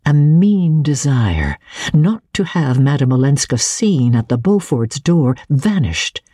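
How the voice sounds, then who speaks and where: A woman's voice: a bass voice, sing-song, takes it slowly, dark tone, breathy edge, dry recording, studio quality. A woman reading a classic novel aloud as a dramatic, scripted literary narration. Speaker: audiobook reader.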